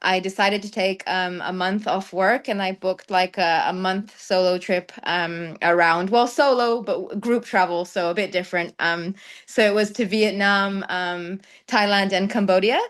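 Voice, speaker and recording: A woman's voice: mid-range voice, fairly even intonation, normal speed, a bit bright, smooth. A young woman who grew up in the Netherlands, a travel content creator answering interview questions thoughtfully, with many ums and light laughs. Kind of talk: conversational interview.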